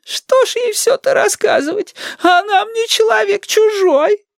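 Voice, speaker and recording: A woman voicing a querulous, manipulative old grandmother in an audiobook, with plaintive, drawn-out wailing. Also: very high-pitched, gravelly, no room sound, studio quality, voice pushed up, exaggerated voice. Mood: wailing, aggrieved. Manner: plaintive drawn-out shrieking and moaning. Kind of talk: dramatized audiobook reading.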